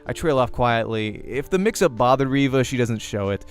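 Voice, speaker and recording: A man reading a visual novel aloud as a let's play host, in an expressive storytelling manner. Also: medium pitch, normal speed, soft background music. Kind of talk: narrating a visual novel aloud, acting dialogue.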